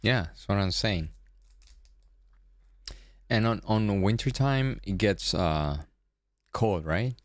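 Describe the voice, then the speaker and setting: Slow, deep man. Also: animated, dry recording. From Venezuela. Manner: hesitant, repeats words, trails off low. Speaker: day-trading livestream host. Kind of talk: casual livestream chat.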